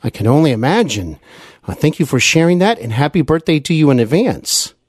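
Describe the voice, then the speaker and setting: A man's voice: on the high side, big pitch swings, brisk, breathy edge, dry recording, studio quality. A man hosting a podcast, effusive and emphatic, delivering introductions and interview talk. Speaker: podcast host.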